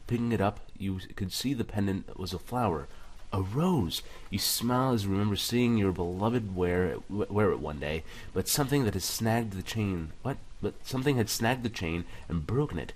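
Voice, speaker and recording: Low-voiced man doing game commentary. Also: expressive, moderate pace. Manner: casual, stumbles while reading aloud. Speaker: gaming video host. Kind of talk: let's play commentary, reading game text aloud.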